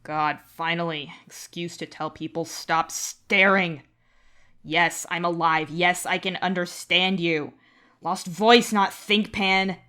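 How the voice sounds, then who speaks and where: Woman voicing a slow, halting, weary male troll. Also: fairly low pitch, fairly even intonation, sharp tone, clean tone. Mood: exasperated. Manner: halting, terse fragments. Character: weary male alien troll, freed ship slave, bitter.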